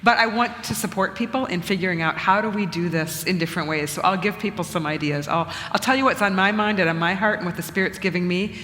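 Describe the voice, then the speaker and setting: A middle-aged woman bishop, speaking in an earnest, measured way and thinking aloud in a conversational church talk. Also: lowish voice, a bit bright, slight background noise. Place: church sanctuary. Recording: long reverb of a church hall.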